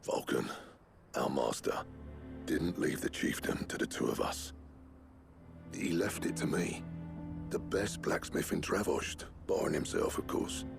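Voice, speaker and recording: A male actor voicing a proud, stubborn master blacksmith in a video game, with a working-class British accent and a gruff, blunt, gravelly delivery. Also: extremely low pitch, animated, a little slow, pauses often, full character voice. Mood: gruff, defiant. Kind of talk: dramatic game acting. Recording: loud music under the voice. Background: music.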